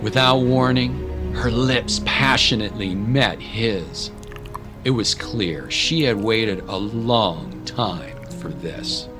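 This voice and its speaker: Slow, solemn male storyteller over music. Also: fairly low pitch. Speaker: narrator. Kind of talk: dramatic scripted story narration. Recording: dramatic music bed.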